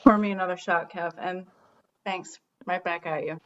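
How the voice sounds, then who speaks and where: A woman acting in a comedy radio play as a curt, sardonic producer, snappish and deadpan. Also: fairly low pitch, normal speed, lo-fi sound, lightly acted.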